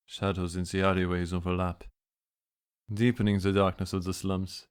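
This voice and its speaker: A man acts out a grave man of foggy Victorian London. His voice sits very low, and he speaks in a measured, guarded, fatalistic way. It is radio drama, with music playing behind him. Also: flat delivery, clear, resonant tone, close to an everyday voice. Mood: somber.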